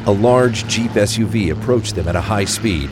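A man's voice: lowish voice, normal speed. A man reading scripted narration for a crime thriller audio drama. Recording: faint rain and traffic ambience. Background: rain, vehicle sounds.

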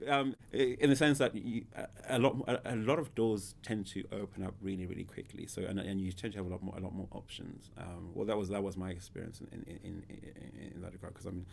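A man's voice: deep voice, flat delivery, fast, gravelly, few pauses, a little mellow, a little hiss or hum. A man in his thirties from Zimbabwe, a podcast guest who talks hesitantly with many fillers and restarts. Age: 30s. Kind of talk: casual interview.

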